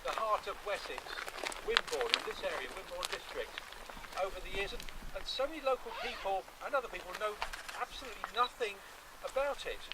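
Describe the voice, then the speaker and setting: A man's voice: high voice, animated, brisk. A man giving an earnest, emphatic impromptu introduction at an outdoor event, repeating phrases for effect. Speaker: event speaker introducing a reenactment. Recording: noisy outdoor recording from far off.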